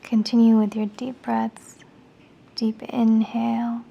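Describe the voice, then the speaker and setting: A woman with a fairly high, mellow voice guides a meditation. Her delivery is very breathy and soft, often slipping toward a whisper. She gives each instruction in an unhurried, soothing manner. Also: monotone, highs cut off.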